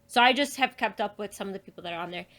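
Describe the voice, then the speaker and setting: Casual woman guest with a mid-pitched voice. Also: rapid-fire, fairly bright tone. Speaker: podcast guest. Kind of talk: casual chat.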